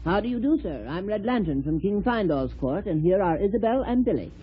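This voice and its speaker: An actor playing a friendly, knowing fish guide in an old-time children's radio show. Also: male voice, high voice, big pitch swings, fairly quick. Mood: helpful, genial. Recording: muffled, noisy old radio recording.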